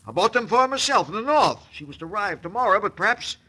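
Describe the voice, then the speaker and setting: A man's voice: high-pitched, big pitch swings, fast talker, sharp tone, slightly rough, full character voice. An actor playing a hot-tempered, imperious middle-aged army major and anxious father in an old-time radio western. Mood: shocked, worried. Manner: blustering, imperious, exclamatory.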